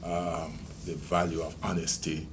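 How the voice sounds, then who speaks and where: Retiring police officer, Jamaican man in his sixties, deep voice, unhurried storytelling, noisy room. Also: monotone. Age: 60s. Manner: measured, reminiscing, with many ums and restarts. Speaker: retiring police deputy commissioner. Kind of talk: reflective interview storytelling.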